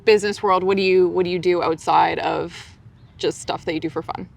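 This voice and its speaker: A woman's voice: lowish voice, steady tone, brisk, vocal fry. A woman hosting a casual sit-down interview, upbeat and encouraging as she hypes up her guest. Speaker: podcast host.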